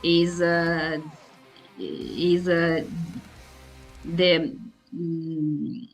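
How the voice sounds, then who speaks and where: A woman asks interview questions in halting, speech. She speaks very slowly and on the low side, often stopping to search for a word. Faint music plays underneath. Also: gravelly, low-quality recording. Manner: halting, searching for words in non-fluent speech.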